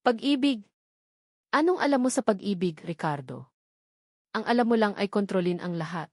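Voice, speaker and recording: A woman's voice: medium pitch, animated, moderate pace, no echo, clean studio sound, close to an everyday voice. A woman voicing a taunting, triumphant villainess in a dramatic romance story, mocking and cruel.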